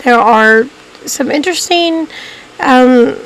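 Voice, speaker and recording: Hoarse woman, slow rambling talk. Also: high-pitched, a bit bright, low-quality recording. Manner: rambling, many ums and restarts. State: hoarse, losing her voice. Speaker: YouTube documentary host. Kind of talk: casual unscripted narration to viewers.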